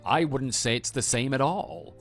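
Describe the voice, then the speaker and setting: A man voicing a stern, principled former schoolteacher in a scripted audio drama, speaking in a measured, matter-of-fact way. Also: mid-range voice, close to an everyday voice. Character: stern, principled male former homeroom teacher, calm and reproving. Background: background music.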